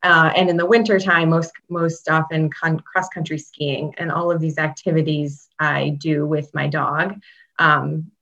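A woman from Chicago talks as an interview guest, friendly and easygoing, with frequent ums and little laughs. Her voice sits low for a woman. The recording sounds dull and lo-fi. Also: steady tone, unhurried, fairly bright tone. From Chicago, Illinois. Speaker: guest, state public health veterinarian. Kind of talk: interview answers, casual.

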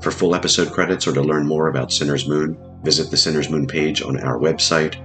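A man's voice: extremely low pitch, fairly even intonation, fairly quick, fairly bright tone. A man narrating a scripted horror audio drama in an ominous, literary manner. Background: music.

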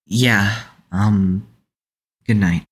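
A man's voice: fairly low pitch, steady tone, drawn-out words, slight background noise. A man playing a shy, guarded, awkward young man who stammers hesitantly in an audio drama. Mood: nervous, guarded.

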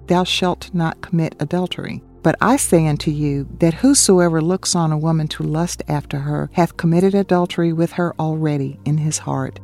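A woman with a mellow, darker voice reads from a scripted Bible study in a radio style. She is solemn and admonishing, and she puts pointed questions to the listener. Quiet music sits faintly behind her. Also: lowish voice. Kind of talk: scripted religious narration.

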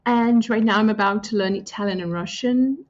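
Thoughtful woman, muffled audio. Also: medium pitch. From Greece. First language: Greek. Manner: thoughtful, with frequent pauses and fillers. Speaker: guest. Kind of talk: reflective interview answers.

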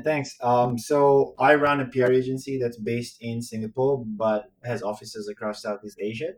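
Male podcast co-host, grew up in Sri Lanka, relaxed rambling banter over a remote line. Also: mid-range voice. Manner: laughing, easygoing, rambling. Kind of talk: casual podcast chat over a call. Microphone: remote call.

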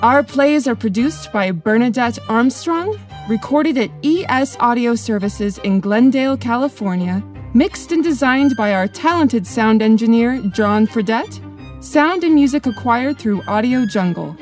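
A woman with a high voice reads a scripted podcast introduction as an announcer. Light music plays behind her. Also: lively intonation, unhurried.

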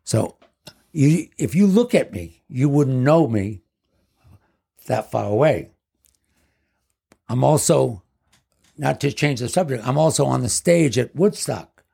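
A man's voice: medium pitch, expressive, slow pace, vocal fry, pauses often, warm tone, breathy edge. A man in his seventies telling rambling stories about his past as a guest in casual chat. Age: 70s. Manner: rambling, leans on "basically". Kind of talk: casual storytelling.

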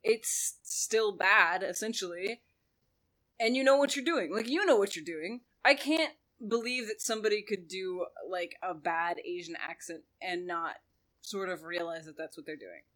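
A woman co-hosting a podcast, chatty and quick to laugh, with lots of "like" fillers. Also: lowish voice, a bit bright. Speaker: podcast co-host. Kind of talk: casual discussion podcast.

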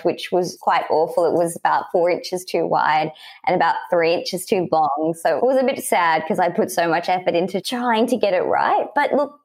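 Chatty Australian woman, lowish voice. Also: darkish tone. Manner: chatty, laughs often, upbeat storytelling. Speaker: guest. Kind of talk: casual podcast interview.

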